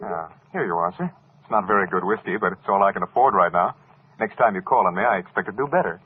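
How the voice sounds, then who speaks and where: Eager, fast-talking young fellow. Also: male voice, low-pitched, breathy edge. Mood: affable. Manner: chatty, polite, deferential. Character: affable, eager-to-please young man playing host. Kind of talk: 1940s radio acting. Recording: noisy narrow-band vintage radio recording.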